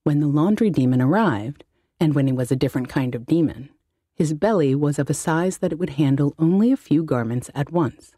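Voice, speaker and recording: Woman reading an audiobook story, lowish slightly husky voice, really dry studio sound. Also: animated, fairly quick, warm tone, no room sound. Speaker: audiobook narrator. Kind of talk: scripted story narration.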